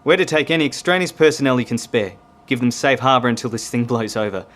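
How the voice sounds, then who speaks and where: A man's voice: mid-range voice, fast talker, fairly bright tone, natural voice. An Australian-accented man playing a courteous, grave navy commander in an audio drama, polite and plain-spoken. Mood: matter-of-fact.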